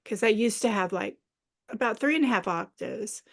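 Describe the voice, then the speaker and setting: A middle-aged woman guest in a casual interview, an animated storyteller who laughs a lot, with a slightly raspy voice. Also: mid-range voice, normal speed. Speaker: guest, children's author.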